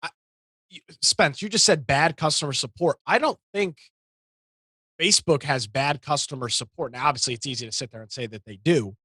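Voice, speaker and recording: Bright, husky higher-voiced man arguing casually. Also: fairly quick, dry recording, clean studio sound. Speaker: talk show panelist. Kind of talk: casual debate.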